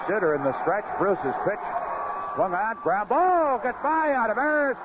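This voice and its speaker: A man calling baseball play-by-play on live radio in clipped phrases, pausing between pitches. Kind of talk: live radio sports commentary. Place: outdoor ballpark broadcast booth. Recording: noisy, muffled vintage 1970s radio broadcast.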